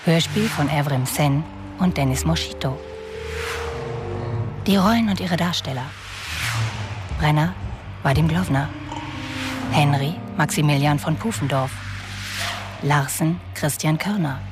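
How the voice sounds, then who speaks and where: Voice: mid-range voice, a little slow, long pauses, over music. An announcer reading scripted radio play credits, name by name.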